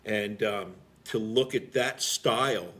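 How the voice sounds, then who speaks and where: A man's voice: lowish voice, slow pace, fairly bright tone. A middle-aged man telling stories casually as an interview guest, plain-spoken and rambling, with frequent filler phrases. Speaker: interview guest, veteran firefighter.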